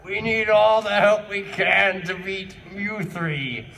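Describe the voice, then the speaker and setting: Bright creaky high-voiced man, distant mic. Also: slow pace. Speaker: guest reader on a fan fiction panel. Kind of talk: live comedic character reading. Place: live convention panel room. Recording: distant mic, noisy crowded panel room.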